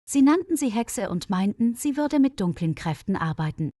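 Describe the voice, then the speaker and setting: A woman reading a scripted mystery story for language learners in a measured, careful storyteller's delivery. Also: medium pitch, very animated, warm tone, no room sound.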